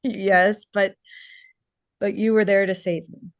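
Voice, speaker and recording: A woman's voice: mid-range voice, level tone, slow, no room sound, muffled recording. An actress reading a hopeful, persuasive small-town woman in a holiday romance table read. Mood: nostalgic.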